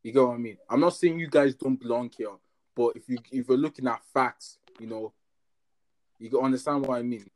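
A man's voice: medium pitch, fairly quick, poor audio. A man from Ireland in a heated casual discussion, rambling and often checking that he is understood. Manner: rambling, repeats "you get what I mean".

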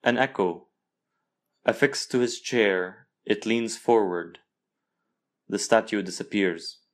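A man's voice: lowish voice, slow pace. A man from Lebanon, an author, narrating his own literary short story from a script.

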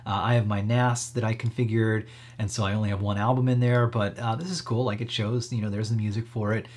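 Husky, easygoing guy. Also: on the low side, level tone, fairly quick, a little mellow. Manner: easygoing, upbeat, approving. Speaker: tech live stream host. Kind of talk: casual software walkthrough.

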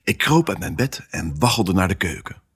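A male actor voicing an excitable pet dog who tells his own Christmas adventure in an expressive, theatrical family audio drama style. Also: low voice, moderate pace, slightly rough, exaggerated voice. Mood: playful, secretive. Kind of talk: first-person storytelling in a family audio drama.

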